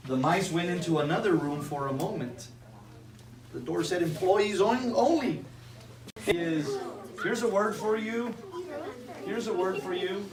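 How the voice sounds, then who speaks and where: A woman reading a storybook aloud to a live group with dramatic emphasis, pausing to ask them questions. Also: lowish voice. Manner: expressive, dramatic emphasis, engages listeners with questions. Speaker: storybook reader. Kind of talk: interactive children's read-aloud. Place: indoor room with a live audience. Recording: echoey room, distant mic, audience chatter.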